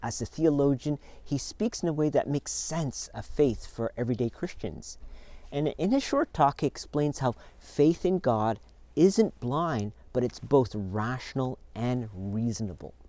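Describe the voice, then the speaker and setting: A man hosting a church video, speaking earnestly and in a measured way to his congregation. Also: mid-range voice, lively intonation, dark tone, no echo.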